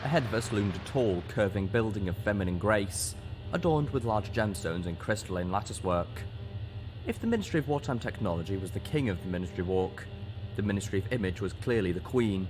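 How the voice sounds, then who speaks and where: Low-voiced man, expressive storyteller. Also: fairly quick, over music. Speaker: story reader. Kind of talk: dramatic audiobook narration of fan fiction.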